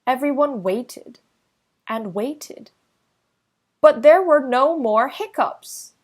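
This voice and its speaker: A woman reading a children's picture book aloud as narrator, deliberately, with pauses between short phrases. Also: high voice, lively intonation. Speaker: storybook reader.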